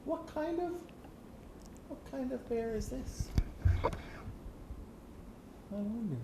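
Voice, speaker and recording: Kids' puppet co-host woman performer: childlike and silly, very animated delivery, roomy sound with noise behind. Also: a little high, slow pace. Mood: curious, playful. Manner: silly, childlike broken grammar. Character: goofy childlike puppet friend hosting a kids' show. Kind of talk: playful improvised puppet chat. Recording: roomy echo, noticeable background noise.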